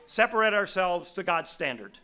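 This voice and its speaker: A man in his sixties with a raspy voice, preaching a sermon in a folksy storytelling way with many restarts. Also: high-pitched, animated, normal speed, bright, forceful tone.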